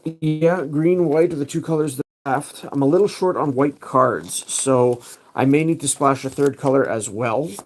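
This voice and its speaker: A man's voice: medium pitch, normal speed. A man hosting a casual livestream, thinking aloud as he picks cards. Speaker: livestream host.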